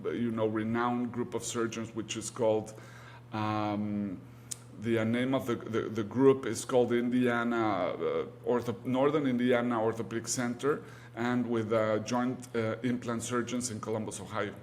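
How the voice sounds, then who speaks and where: A man's voice: medium pitch, steady tone, moderate pace, a little mellow, slight background noise. A man from Mexico City, a native Spanish speaker with a Mexican accent, answering interview questions as a surgeon, with hesitant phrasing and repeated words for emphasis. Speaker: guest, orthopedic surgeon.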